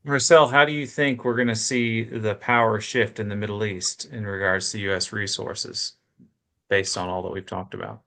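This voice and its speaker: A man's voice: fairly low pitch, fairly even intonation, moderate pace, a bit bright. A man hosting a live panel discussion, directly steering it on to the next questions. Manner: direct, moves things along. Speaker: host.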